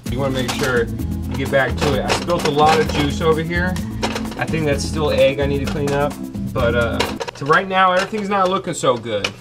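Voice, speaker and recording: A man's voice: fairly high pitch, brisk, loud music behind the voice. A young man in his twenties, brash and boastful, hosting a comedic cooking show from a small kitchen. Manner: brash, boastful, loud whoops.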